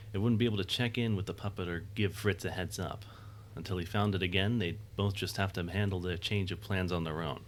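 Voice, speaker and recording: A man's voice: low voice, slight background noise. A man reading scripted narration for an audio drama.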